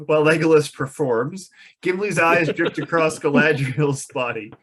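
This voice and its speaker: A man's voice: a little high, normal speed, a little hiss or hum. A man reading out the stage directions of a fantasy script as narrator at a table read.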